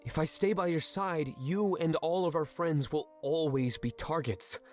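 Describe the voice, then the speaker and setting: Man playing an anxious, remorseful ex-swindler, higher voice. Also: unhurried, soft background music, lightly acted. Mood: anxious, pleading. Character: reformed male swindler, remorseful, anxious, devoted servant of the monarch. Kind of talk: fantasy audio drama acting.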